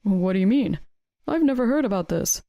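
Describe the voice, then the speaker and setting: Pathetic cheating husband in a story, played by a woman: weak, pleading, mellow, close dry sound. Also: medium pitch, expressive, a little fast, no room sound, highs cut off, lightly acted. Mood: bewildered. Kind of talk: dramatised story dialogue.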